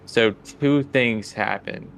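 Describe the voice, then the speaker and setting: Slow-talking man with a gravelly low voice. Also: a bit bright, a little hiss or hum. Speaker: game master of a tabletop podcast. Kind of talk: casual chat and game narration.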